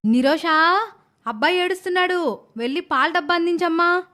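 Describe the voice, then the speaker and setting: A woman playing a caring but easily exasperated young mother, earnest and expressive, in an animated moral story. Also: extremely high pitch, moderate pace. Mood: calling.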